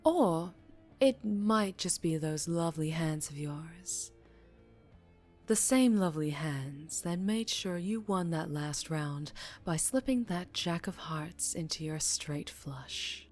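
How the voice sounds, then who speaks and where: A woman playing a dangerously charming female mafia boss in an ASMR roleplay, teasing and menacing, with deliberate purring delivery that drops toward a whisper. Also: sits low, darkish tone, faint music behind. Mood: smug, watchful. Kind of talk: ASMR roleplay audio drama, addressing the listener.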